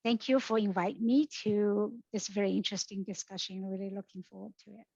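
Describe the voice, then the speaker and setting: A woman's voice: medium pitch, fairly even intonation, brisk, vocal fry, darkish tone, slightly rough, no echo. A woman, introducing herself on a panel in a polished, businesslike way. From China. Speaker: panelist, science director. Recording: dull, dry video-call audio.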